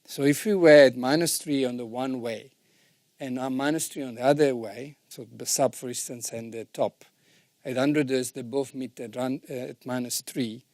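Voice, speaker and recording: Male instructor, mellow relaxed tone, informal explanatory delivery, didactic, checks understanding, dry recording. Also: mid-range voice, moderate pace, no room sound. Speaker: sound engineering instructor.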